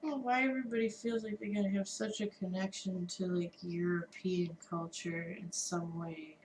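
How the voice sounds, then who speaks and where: A woman's voice: fairly low pitch, fairly even intonation, moderate pace, darkish tone, reverberant, a little hiss or hum, poor audio. An American woman co-hosting a livestream, chatting in a dry, offhand way and swearing casually. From United States. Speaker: livestream co-host. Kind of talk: casual chat. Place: home streaming studio.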